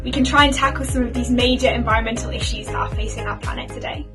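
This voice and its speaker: Young charity founder, high bright voice, upbeat; distant echoey mic. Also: female voice, steady tone, fast, over music. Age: young adult.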